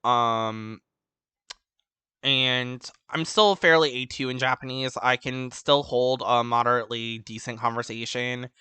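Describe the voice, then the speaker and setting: Casual vlog host, male, mid pitch, bright and crisp, dry close sound, hesitant and rambling with lots of ums. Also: steady tone, a little slow, dry recording. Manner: rambling, full of ums and restarts; enthusiastic about languages. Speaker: language-learning channel host. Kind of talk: casual unscripted vlog update.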